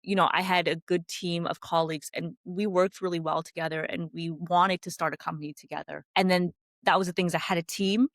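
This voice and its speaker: A woman from California, a company founder, chatting in a video-call interview and telling her story in a lively, animated way. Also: fairly low pitch, fairly quick, no echo, clean studio sound.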